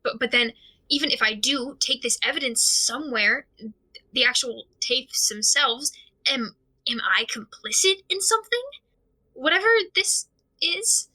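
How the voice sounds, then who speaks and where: A woman acting in an audio drama as an anxious, overwhelmed amateur investigator, talking through worries alone in a halting, stammering, rambling way. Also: high-pitched, expressive, bright, forceful tone, full character voice, poor audio. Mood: worried, flustered. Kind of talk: audio drama monologue, recorded diary.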